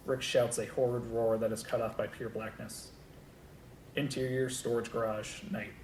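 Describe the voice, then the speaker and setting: A man reading scripted stage directions aloud as narrator at a table read. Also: darkish tone. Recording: echoey room with low rumble.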